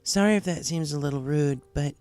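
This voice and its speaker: Man as a hesitant runaway pegasus girl, pitched up. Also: fairly quick, darkish tone, faint music behind, lightly acted. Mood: guarded, blunt. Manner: hesitant, blunt. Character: young runaway pegasus mare, awkward, guarded, apologetic.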